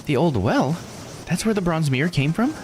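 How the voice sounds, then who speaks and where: A man's voice: on the high side, very animated, moderate pace, darkish tone, a little hiss or hum, voice pushed up. A man voicing an earnest, curious young woman who is the polite heroine of a visual novel, speaking expressively. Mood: astonished, curious. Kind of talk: visual novel character read aloud.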